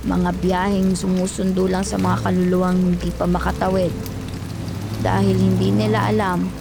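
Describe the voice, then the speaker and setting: Woman voicing a creaky, ominous old woman. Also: lowish voice, level tone, moderate pace, music in the background. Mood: grave, foreboding. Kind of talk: Filipino horror audio drama.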